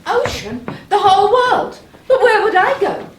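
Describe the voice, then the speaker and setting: Woman playing a very high, fretful young goddess. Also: big pitch swings, unhurried, exaggerated voice. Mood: alarmed. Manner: anxious, plaintive, exclamatory. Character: fretful, whiny young goddess, Neptune's niece. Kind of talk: live stage comedy acting. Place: small playhouse stage, live performance. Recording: distant echoey theater recording, loud noise.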